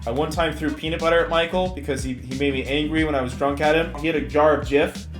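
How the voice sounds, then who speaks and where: Young adult male storyteller, Californian accent, animated vlog chat, very roomy sound over light guitar music. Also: on the high side, fast talker. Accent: Californian American. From California, United States. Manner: animated, slangy, self-mocking. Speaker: YouTuber telling stories. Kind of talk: casual storytime chat to viewers. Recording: echoey room with music bed. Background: background music with guitar.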